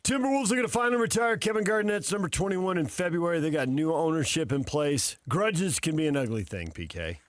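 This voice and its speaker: A man with a slightly high voice co-hosts a sports talk show. He talks in a casual, bantering way, recorded close and dry. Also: animated, a little fast, few pauses, no room sound. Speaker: sports radio co-host.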